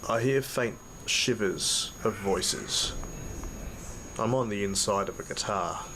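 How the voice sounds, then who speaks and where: An actor playing a badly injured, weary man, narrating his ordeal in halting fragments over heavy noise in an audio drama. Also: fairly low pitch. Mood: dazed. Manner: halting, broken into short fragments. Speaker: narrator and lead character. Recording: loud noise behind the voice.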